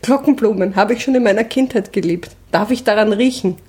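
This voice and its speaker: A woman's voice: mid-range voice, fairly quick, warm tone, natural voice. A woman with an Austrian accent playing a flustered, harried mother flirting with a stranger in an improvised audio play. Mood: coy, weary.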